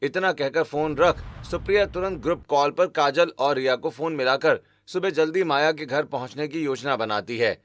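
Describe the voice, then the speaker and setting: A man's voice: on the high side, normal speed, hardly any pauses, a bit bright. A man narrating a scripted cartoon story as its storyteller. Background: background music.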